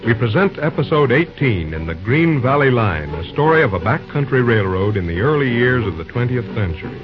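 A man's voice: mid-range voice, big pitch swings, a little fast. A man announcing and narrating an old-time radio serial from a script. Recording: muffled old radio recording, music behind.

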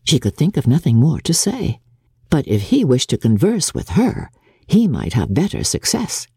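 Husky, expressive female storyteller. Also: low voice, dry recording, studio quality. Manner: expressive, theatrical, measured. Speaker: narrator of a classic novel. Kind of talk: dramatic scripted audiobook narration.

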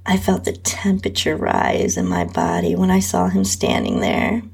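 A woman's voice: lowish voice, very animated, moderate pace, vocal fry, a little mellow, breathy edge. A woman host narrating an intimate first-person erotic story.

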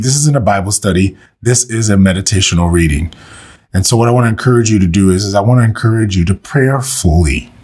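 A man leading a slow, meditative scripture reading and prayer for listeners, saying he is under the weather and losing his voice. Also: lowish voice, slightly rough. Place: recorded for online listeners.

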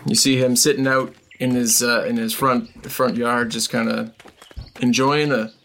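A man working as game master, improvising tabletop game narration in a casual, halting way. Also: mid-range voice, flat delivery, gravelly, a bit bright, slight background noise.

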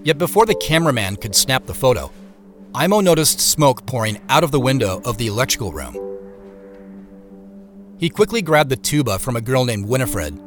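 An actor with an American accent playing a reflective man who narrates his road trip in a measured, literary audio drama style. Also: medium pitch, very animated, music in the background, natural voice. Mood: matter-of-fact storytelling.

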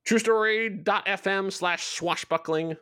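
High-pitched man chatting casually, dry close sound. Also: a little slow, bright, forceful tone, smooth, no echo. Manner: rambling, self-deprecating, jokey. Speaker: show creator being interviewed. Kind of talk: casual promotional chat.

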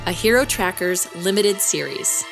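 An actress playing an investigative podcast host, delivering scripted documentary narration. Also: female voice, on the low side, lively intonation, normal speed, gravelly. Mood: composed, informative. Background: music.

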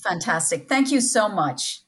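Husky-voiced woman, thoughtful and enthusiastic. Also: medium pitch, expressive, fairly bright tone. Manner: enthusiastic, thoughtful, halting with repeated restarts. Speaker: interviewed guest, scholar and book editor. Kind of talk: spoken interview discussion.

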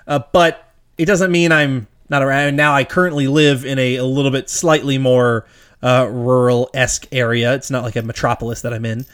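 A man co-hosting a casual movie podcast, animated and laughing often, his voice jumping up when he gets worked up. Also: medium pitch, normal speed, smooth. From Georgia, US. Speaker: podcast co-host. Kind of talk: casual movie review chat.

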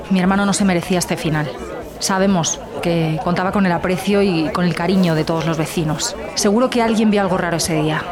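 A woman's voice: medium pitch, natural voice. A woman playing a murder victim's earnest, grieving sister, giving documentary-style testimony and insisting on justice. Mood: pleading, resolute.

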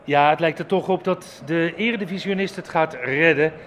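A man with a high voice reports on a football match live on the radio. He speaks as a commentator from an outdoor ground, with some noise around him. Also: normal speed, a bit bright.

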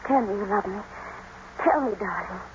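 An actress as a pleading young wife, muffled old radio. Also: female voice, mid-range voice, moderate pace, lightly acted. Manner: earnest, melodramatic. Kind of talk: 1950s radio drama acting. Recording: dull old radio broadcast, faint noise.

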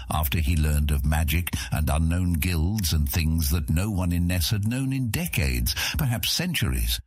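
A man narrating a fantasy audiobook, telling the story in a measured, dramatic way. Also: deep voice, husky, no echo, clean studio sound.

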